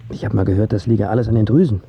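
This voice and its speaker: An actor playing a blunt, boozy drinking buddy with dry wit in a radio drama. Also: male voice, lowish voice, steady tone, very fast, gravelly, husky, full character voice. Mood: dry, matter-of-fact. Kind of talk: German radio drama acting. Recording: slightly dull audio.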